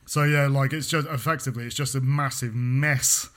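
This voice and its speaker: A man's voice: medium pitch, steady tone, moderate pace, a bit bright. Rambling young Englishman chatting. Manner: rambling, many restarts and "like" fillers. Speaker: podcast co-host. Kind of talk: casual chat.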